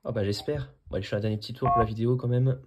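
A man's voice: mid-range voice, fairly even intonation, racing, heavy background noise. A man chatting casually as an amateur radio operator while he demonstrates his setup. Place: small indoor room at a desk.

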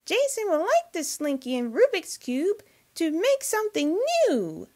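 A woman voicing a playful, mischievous girl who loves gadgets, excitable and sing-song, for a cartoon demo. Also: very high voice, measured pace, exaggerated voice. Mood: excited, mischievous.

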